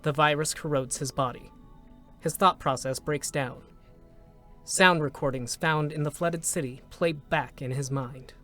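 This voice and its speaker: A male narrator with a fairly high-set voice reads dramatic script solemnly. His phrases are short and deliberate, measured out over faint music. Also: pushed and bright.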